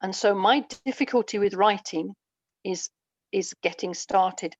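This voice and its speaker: A woman's voice: mid-range voice, unhurried, no room sound, lo-fi sound. A woman from England with an English accent, speaking reflectively and articulately as a guest in a casual interview. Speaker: guest, writer and audio dramatist.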